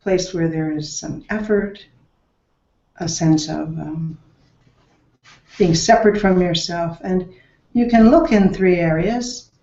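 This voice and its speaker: Slow, low-voiced woman guiding meditation. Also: warm tone. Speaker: meditation teacher. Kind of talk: guided meditation instruction. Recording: roomy echo, dull lo-fi sound.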